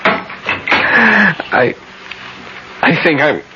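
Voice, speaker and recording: An actor playing an anguished, faltering bereaved lover in a radio drama, hesitant and halting. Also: male voice, fairly high pitch, big pitch swings, heavily acted. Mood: faint, anguished. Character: anguished, faltering man who loved the victim. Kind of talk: 1970s radio drama acting. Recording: dull, noisy old radio broadcast.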